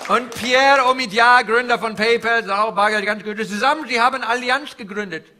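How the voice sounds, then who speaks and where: High, bright-voiced Indian finance guru. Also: male voice, moderate pace, heavily acted. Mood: mock-earnest explaining. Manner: ingratiating, theatrical, repeats phrases. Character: cheery Indian finance guru, flattering salesman comic character. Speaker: stand-up comedian. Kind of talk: character stand-up with audience banter. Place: indoor live venue before an audience. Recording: live stage with noisy audience. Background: audience laughter and crowd ambience.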